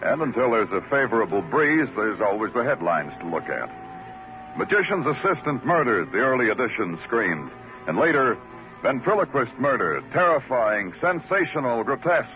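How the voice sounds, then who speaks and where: An American actor playing a wry, world-weary, laconic police detective narrating an old-time radio drama. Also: male voice, medium pitch, big pitch swings, normal speed, close to an everyday voice. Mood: wry, brooding. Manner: laconic, hard-boiled, poetic. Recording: dull old radio recording with music.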